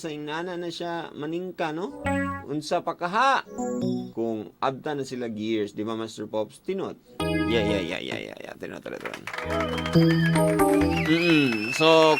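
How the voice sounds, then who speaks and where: A man with a Visayan Filipino accent hosting a casual radio advice show, chatty and playful, mixing in English. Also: mid-range voice. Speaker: radio host. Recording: loud music bed behind the voice. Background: music with guitar and keyboard.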